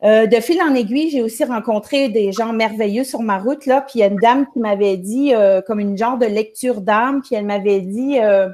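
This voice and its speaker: A woman's voice: on the high side, moderate pace, hardly any pauses, a little mellow. A woman from Quebec with a Québécois accent, a music teacher telling her story in a chatty, rambling way as a guest on a show. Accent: Québécois French. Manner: chatty, rambling, many restarts. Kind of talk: casual storytelling on a live show.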